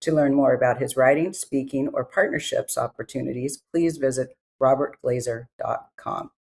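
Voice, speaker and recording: Gravelly-voiced woman host. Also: a bass voice, normal speed, a little mellow. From Northern California. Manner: friendly, chatty questions with light laughter. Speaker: podcast host. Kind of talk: casual interview hosting.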